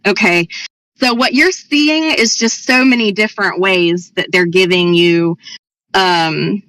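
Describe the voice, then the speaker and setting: A woman's voice: mid-range voice, a little slow, a bit bright, no echo, poor audio. A woman on a voice chat panel, explaining at length in a chatty, lecturing way. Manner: chatty, lecturing, calls listener hun. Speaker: panel member.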